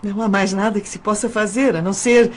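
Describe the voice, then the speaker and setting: An actress playing a troubled young woman in an audio drama, speaking politely and haltingly as she persuades. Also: mid-range voice, steady tone, noisy background, natural voice. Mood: troubled, pleading. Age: young adult.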